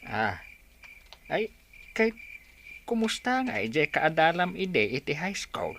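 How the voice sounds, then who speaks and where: A man's voice: on the high side, sing-song, slow, a bit bright, full character voice. An actor playing a doting, folksy old grandfather in an Ilocano-accented radio drama. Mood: interested, pleased. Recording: noisy ambience behind voice.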